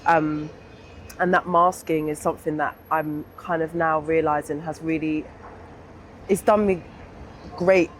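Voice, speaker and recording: A young adult woman with a southern English accent speaks candidly and informally in an interview, pausing to think as she reflects. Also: sits low, steady tone, slight background noise. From England. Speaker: interview guest.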